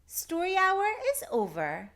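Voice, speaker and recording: A woman's voice: very high-pitched, very animated, unhurried, a bit bright, voice pushed up, heavily acted. A woman voicing a polite little girl in a children's storybook. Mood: gently informing.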